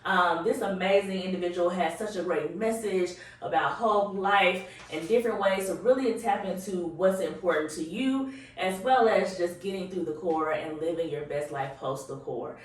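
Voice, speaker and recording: A woman's voice: lowish voice, moderate pace, hardly any pauses. An effusive woman hosts an interview, full of praise and eager questions. She sits a little away from the mic. The office around her rings with heavy echo. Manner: enthusiastic, effusive. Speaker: host. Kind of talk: upbeat interview chat. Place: office room. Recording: echoey room, distant mic.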